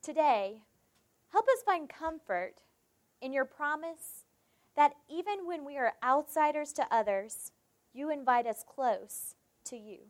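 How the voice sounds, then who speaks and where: A young woman with a South Carolina Southern accent preaches in a high, light voice. She tells a personal story, acting out the dialogue in it before turning to scripture. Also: expressive, measured pace. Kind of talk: devotional sermon with personal story.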